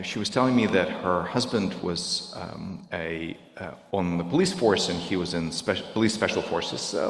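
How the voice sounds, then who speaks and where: A middle-aged man whose native language is Russian, speaking earnestly and haltingly to a congregation, searching for his words. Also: low-pitched, vocal fry. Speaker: guest speaker at a service. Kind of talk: reflective talk to a congregation. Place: synagogue sanctuary. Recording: echoey hall, long reverb.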